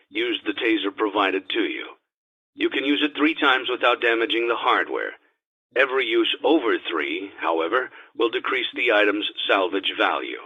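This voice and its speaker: A man voicing a calm, grave instructor on cassette training tapes for a video game, speaking formally in a measured, matter-of-fact and ominous way. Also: fairly low pitch, husky. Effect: cassette tape filter. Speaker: instructional tape narrator. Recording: dull, lo-fi cassette tape sound.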